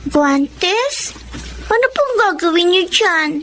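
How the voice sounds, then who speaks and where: A sweet little girl in a Filipino radio drama. Her voice is extremely high and childlike, eager and polite, over some background noise. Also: big pitch swings, slow pace, a bit bright, full character voice. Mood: curious, eager. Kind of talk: Tagalog radio drama acting.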